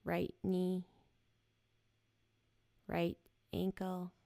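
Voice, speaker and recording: A woman guiding a yoga nidra body scan in a gentle, soothing, unhurried manner with short, spaced phrases. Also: on the low side, monotone, gravelly, dry recording. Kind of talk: guided meditation, slow body scan.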